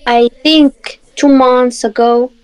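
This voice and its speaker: A young woman speaks slowly on a webcam video call from her home. Her voice sits a little high, and her English is halting and simple, with short answers. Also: steady tone, clear, resonant tone, rough recording. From Morocco. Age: 20s. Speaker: video chat guest. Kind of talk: casual video chat. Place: indoor home room.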